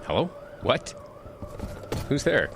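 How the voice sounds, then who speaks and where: A man's voice: mid-range voice, big pitch swings, takes it slowly. A man playing a freezing, anxious groom-to-be in a horror audio drama, fretfully talking to himself, in a noisy recording. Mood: startled, irritated.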